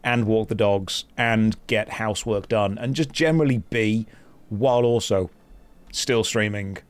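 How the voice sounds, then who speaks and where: A man's voice: on the low side, a little slow, smooth. Joking man streaming a game. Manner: self-mocking, joking, reads chat and quest text aloud. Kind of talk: casual live-stream chat while playing a game.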